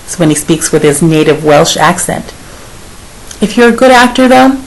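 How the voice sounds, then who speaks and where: Lady speech coach reading her script, bit of fry in the voice, faint hiss on the track. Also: sits low, poor audio. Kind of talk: scripted narration.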